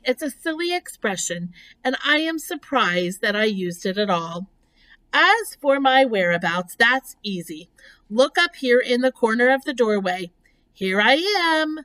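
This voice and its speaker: A woman's voice: a little high, a bit bright, rough recording. A woman voicing a gracious, self-assured female spider in a storybook, with genteel, precise, composed speech.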